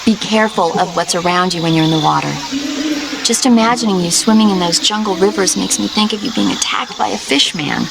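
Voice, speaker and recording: Actress doing young woman medic in a video game, caring n teasing, bit of a nag, clear noise behind her. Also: medium pitch, a little fast, natural voice. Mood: earnest concern. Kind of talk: video game voice acting, scripted radio conversation.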